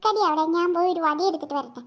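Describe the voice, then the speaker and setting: A performer voicing a brisk, exasperated young mother who admonishes in a comedy cartoon. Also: very high voice, animated, fairly bright tone, highs cut off, slight background noise. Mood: exasperated, scolding.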